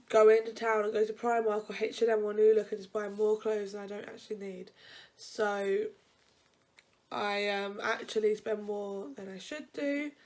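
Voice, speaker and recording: A woman's voice: medium pitch, a little mellow. Chatty young woman with a blocked nose. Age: young adult. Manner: rambling, chatty, frequent self-corrections. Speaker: university vlogger. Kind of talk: casual advice vlog.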